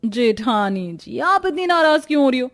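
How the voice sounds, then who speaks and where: Cartoon young wife, high voice, sulky and defiant, complains with exaggerated whininess. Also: female voice, big pitch swings, normal speed. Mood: defensive. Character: young sulky daughter-in-law, defiant, whiny, fond of sleep. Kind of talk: Hindi cartoon story dialogue.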